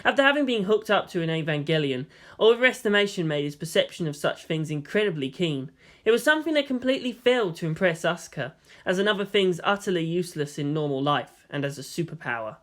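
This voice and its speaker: Narrator: man with a lightly high voice, delivering prose fiction as a scripted read. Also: animated, fast, a bit bright.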